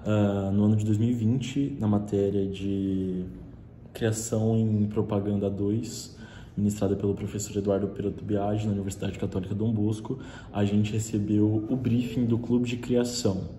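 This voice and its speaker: A young man from Brazil, a student, giving a relaxed spoken presentation of a class project, often restarting his sentences. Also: on the low side, normal speed. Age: young adult. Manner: relaxed, with frequent restarts and "então". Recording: echoey room with faint hiss.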